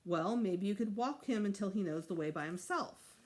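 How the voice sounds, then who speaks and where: A woman plays a storybook mother of young children. She reasons firmly and patiently with an exasperated edge, her pitch rising and falling freely. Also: animated, moderate pace, close to an everyday voice.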